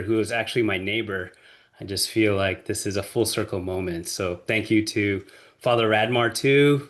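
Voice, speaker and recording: A man's voice: on the low side, fairly bright tone. A man giving an earnest, informal thank-you speech as an award recipient. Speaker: award recipient, university faculty member.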